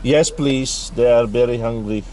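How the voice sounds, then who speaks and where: Guy doing a hammy foreign accent at the drive-thru, slow and stiff, mid pitch. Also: darkish tone, a little hiss or hum, natural voice, poor audio. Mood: mock-formal. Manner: slow, formal, exaggerated order-taking phrasing. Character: man ordering in an overdone foreign accent. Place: in a car at a drive-through.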